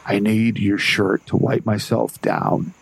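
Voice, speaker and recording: A man's voice: lowish voice, level tone, takes it slowly, vocal fry, slightly rough, full character voice. A man playing a shaken, blunt young man. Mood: tense. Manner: blunt, panicked. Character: shaken young man, gore-covered, defensive. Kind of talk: audio drama acting.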